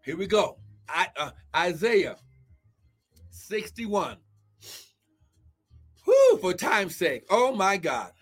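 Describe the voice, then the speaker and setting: A man preaching a prophetic sermon who reads scripture and then riffs on it, breaking out in shouts of "glory" and "oh my God". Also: high voice, wildly swooping pitch, takes it slowly, pauses often, slightly rough, faint music behind.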